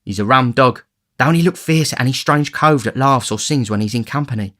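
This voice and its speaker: A man voicing a cocky, boastful boy pickpocket who talks in slang, raised high and loud above his narrating voice. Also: brisk, natural voice.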